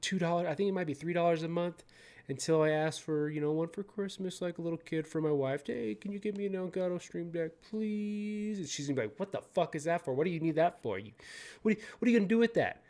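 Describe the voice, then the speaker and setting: A young adult man hosting his own channel, reading news out loud in a casual way, often stumbling over words, swearing and joking. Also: a little high, fast. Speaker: video host.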